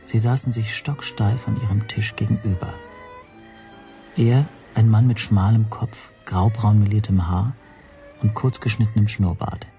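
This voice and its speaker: A male actor as an uneasy, watchful hotel guest narrating a radio play in a low, soft-edged voice. He is measured, quiet and exact, with faint music behind him. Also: fairly even intonation, natural voice. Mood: observant, measured. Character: nervous, observant male hotel guest telling his story. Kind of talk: scripted first-person radio drama narration.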